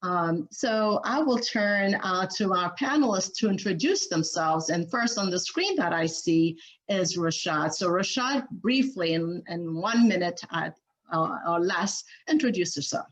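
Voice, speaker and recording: A woman's voice: fairly low pitch, expressive. A woman from Ethiopia, a native Amharic speaker, moderating a panel over a video call, with frequent fillers and restarts. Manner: halting, many fillers and restarts.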